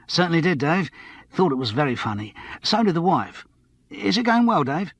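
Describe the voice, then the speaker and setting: Fawning barman, male narrator, chatty and matey, very animated, slightly husky, dry storybook recording. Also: on the high side, normal speed, no echo, voice pushed up. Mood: chummy, eager. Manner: matey, eager, repeats the customer's name. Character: chummy, fawning male London barman, a fan.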